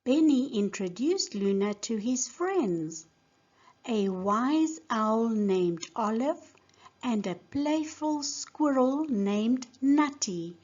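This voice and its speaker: A woman teacher giving a scripted lesson and narrating a storybook in a deliberate, simple way for young learners. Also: on the high side, lively intonation, darkish tone, lo-fi sound. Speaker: English teacher reading a children's story.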